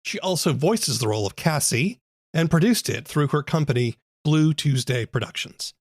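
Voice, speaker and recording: A man's voice: a little high, animated, moderate pace, breathy edge, dry recording, clean studio sound. A man hosting a podcast, reading scripted narration and promos in a presentational style. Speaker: podcast host. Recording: faint music behind voice.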